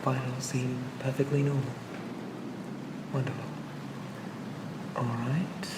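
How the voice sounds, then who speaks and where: A calm and pleasant male AI companion acted by a man for an ASMR roleplay. He speaks gently and reassuringly in a narrow, even pitch. The recording sounds distant and echoey, with clear ambient noise behind him. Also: slow, close to an everyday voice. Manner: gentle, hesitant, reassuring, conversational. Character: charming, pleasant male AI personal assistant for a police officer.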